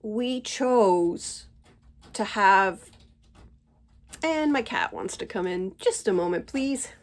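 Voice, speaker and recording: A middle-aged woman speaks at a slow, unhurried pace, pausing often to gather her thoughts. Her voice sits in a medium pitch and is slightly congested from a cold. She talks to her camera at home in a gentle, reflective monologue. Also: animated. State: stuffy from a cold. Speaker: channel host.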